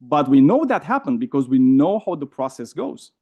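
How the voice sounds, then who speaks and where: A man with a Romanian accent arguing in a heated debate, animated and repeating words, often asking "right?". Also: mid-range voice, moderate pace, lo-fi sound.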